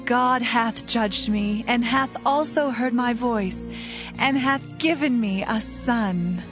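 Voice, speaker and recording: A woman voicing Rachel, an envious young wife desperate for children, speaking slowly and imploringly. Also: fairly high pitch. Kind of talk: dramatized scripture reading. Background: background music.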